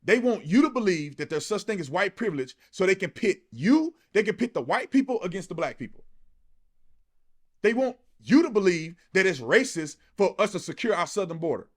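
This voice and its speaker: A male political commentator with a high voice for a man and a lively, swinging intonation. He speaks emphatically and colloquially, driving points home with rhetorical questions. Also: a little fast.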